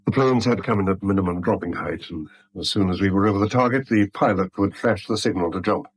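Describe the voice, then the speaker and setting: An actor playing a principled, haunted war veteran in a British radio drama, grave, clipped and stubborn, with a British accent. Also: male voice, deep voice, fast, husky. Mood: grim recounting. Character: principled, haunted male war veteran turned bank employee. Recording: vintage radio recording with faint hiss.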